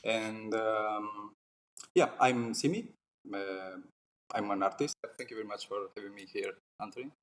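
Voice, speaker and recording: A man's voice: lowish voice, normal speed. Hesitant man. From Italy. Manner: hesitant, polite, many ums. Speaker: podcast guest, tattoo artist and game developer. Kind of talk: interview answer.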